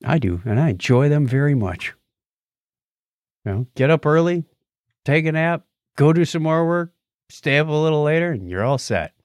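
A man's voice: a little high, expressive, normal speed, pauses often, dry recording, studio quality. A middle-aged man co-hosting a podcast in casual, light-hearted chat with a jokey, teasing manner. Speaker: podcast co-host.

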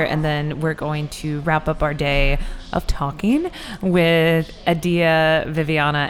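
A woman interviewer, chatty and a bit rambling, who often adds likes and laughs at herself. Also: deep voice. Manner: chatty, rambling, self-deprecating, lots of likes. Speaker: podcast interviewer. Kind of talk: casual interview.

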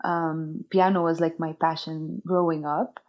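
A woman's voice: sits low, little pitch movement, a little slow. A woman from Lebanon, an interview guest, telling her story casually with many fillers and restarts. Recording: dull lo-fi audio.

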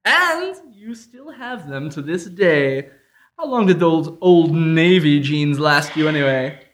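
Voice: mid-range voice, lively intonation, slow. An actor playing a clingy discount-deal service as a boyfriend, with theatrical, pleading sales patter in a radio-style comedy sketch. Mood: hurt, pleading. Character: Groupon, clingy salesman boyfriend turning gleefully villainous. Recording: live, unedited stage performance.

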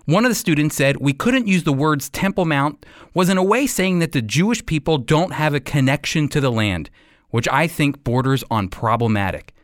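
A man with an American accent hosting a radio program, teaching the Bible in an emphatic, deliberate way. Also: a little high, lively intonation, no echo, studio quality.

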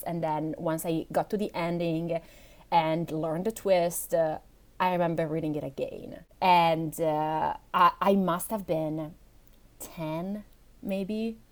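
A woman's voice: on the low side, a little mellow. A woman with an Italian accent, a podcast guest chatting casually, often laughing and restarting her sentences. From Italy. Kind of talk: casual chat.

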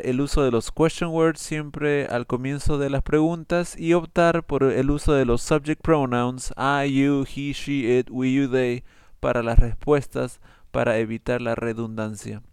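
A man's voice: mid-range voice, steady tone, a little mellow, clear, resonant tone, no echo, clean studio sound. Male bilingual English teacher, patient, uses fillers, clean resonant tone. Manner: switches between Spanish and English, explains slowly with fillers. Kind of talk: bilingual online English lesson.

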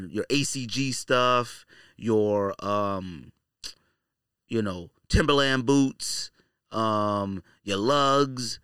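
A man's voice: medium pitch, a bit bright, smooth, no echo, studio quality. A man hosting a podcast with casual, opinionated commentary in slangy, colloquial speech, repeating phrases for emphasis. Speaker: podcast host.

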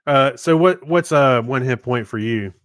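Man, mid-pitched voice, casual podcast co-host, conversational, dry close sound. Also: unhurried, no echo. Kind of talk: casual chat and reading out listener comments.

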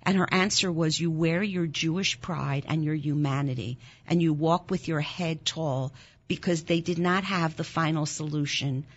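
Woman, 60s, born in Germany, German first language, low voice, intense earnest storytelling, slightly muffled audio. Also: moderate pace, husky. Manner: earnest, intense, rises sharply when moved. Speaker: interview guest, nonprofit founder. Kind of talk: emotional personal storytelling in an interview. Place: Philadelphia.

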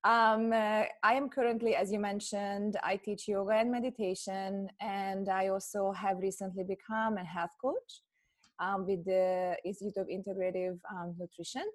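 A woman's voice: mid-range voice, level tone, moderate pace. A woman from Hungary, telling her story as a podcast guest in a chatty way with many fillers. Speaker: guest, yoga teacher. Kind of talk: podcast interview.

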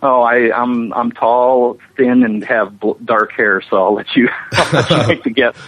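A man's voice: mid-range voice, fairly even intonation, a little slow, fairly bright tone, dry recording. A middle-aged man chatting casually as an interview guest, rambling along with self-deprecating jokes. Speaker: interview guest, special effects animator. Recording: narrow-band phone or internet call.

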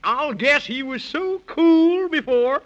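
An excitable, kindly Man in the Moon from an old children's radio serial. The voice is very high, swooping and bright, full of folksy exclamations. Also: slow pace, highs cut off, heavily acted. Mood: jovial fretting.

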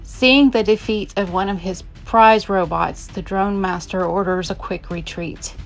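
A woman's voice: mid-range voice, steady tone, unhurried, soft background music, low-quality recording. A woman reading scripted narration for an adventure audio drama.

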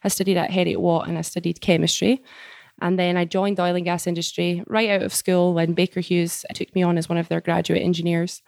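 A woman's voice: on the low side, little pitch movement, fairly quick, gravelly, darkish tone, no room sound. A Scottish woman in her late twenties, chatting as a guest in an office interview, friendly, outgoing and self-deprecating. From Scotland. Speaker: guest engineer. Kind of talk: casual interview chat.

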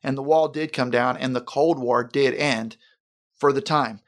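A man, a pastor speaking in an earnest, measured way and slowing down for emphasis in a conversational interview. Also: mid-range voice. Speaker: senior pastor.

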